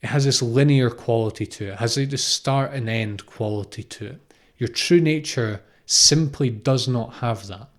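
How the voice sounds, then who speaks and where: A man's voice: mid-range voice, normal speed, hardly any pauses. A man in his thirties from Scotland gives an unscripted, informal spiritual talk, explaining reflectively and often repeating phrases or posing questions. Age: 30s. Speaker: spiritual teacher, podcast host.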